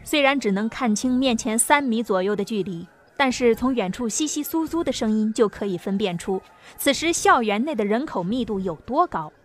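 Female audiobook narrator, fairly high voice, scripted novel narration over faint background music. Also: expressive, normal speed.

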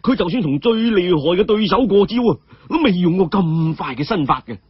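Animated man telling stories. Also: sits high, brisk, breathy edge, no echo, dull audio. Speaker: storyteller. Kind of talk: dramatic story narration.

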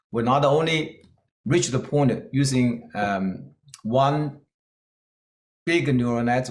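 A man's voice: medium pitch, darkish tone, echoey room. Man with a Chinese-Scottish accent. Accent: Chinese-Scottish English. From China. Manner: earnest, plain-spoken, with hesitant fillers. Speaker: keynote speaker. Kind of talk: conference talk with slides.

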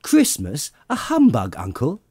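A man's voice: mid-range voice, huge pitch swings, unhurried, mellow, slightly rough, no room sound, clean studio sound, heavily acted. A man voicing a cheerful, earnest young nephew with a hearty, pleading manner in an audiobook. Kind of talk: dramatic audiobook character reading.

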